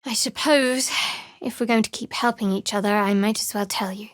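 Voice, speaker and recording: Dry, guarded young cleric played by an actress. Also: female voice, medium pitch, fairly even intonation, no room sound, lightly acted. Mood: composed. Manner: dry, wry, measured delivery. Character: guarded, sardonic young female cleric of a dark goddess. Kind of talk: video game voice acting.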